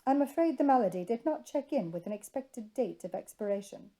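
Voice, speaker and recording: A woman's voice: on the high side, animated, fairly quick, dark tone. A woman voicing a reserved, exasperated young society lady, curt and dryly formal, in a storybook reading.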